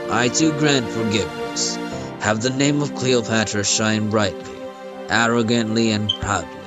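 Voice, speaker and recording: An arrogant male pharaoh from a fantasy game, acted out by a man, speaks in a deep, pompous voice. He commands with lofty pride over prominent music. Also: unhurried, close to an everyday voice. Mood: commanding. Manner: pompous, imperious. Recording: music behind the voice.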